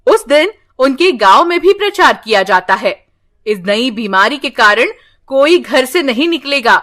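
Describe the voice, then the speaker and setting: A woman narrating a scripted moral story with slow, expressive, dramatic delivery. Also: high voice.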